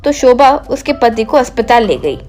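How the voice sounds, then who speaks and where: A woman's voice: on the high side, sing-song, normal speed, darkish tone. A woman narrator reading a scripted comic story. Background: faint music.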